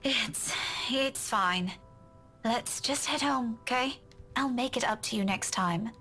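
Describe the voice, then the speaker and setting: A woman's voice: fairly high pitch, slow, bright, forceful tone, soft background music. A woman voicing a weary, prickly young woman in a visual novel game, curt and restrained. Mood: weary, placating.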